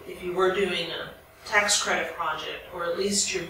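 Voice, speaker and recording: An American woman in the audience asking a long, spontaneous question in a halting, deliberate way. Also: fairly low pitch, steady tone, vocal fry, pushed and bright. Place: echoey lecture room. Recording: very echoey room, distant mic, faint noise.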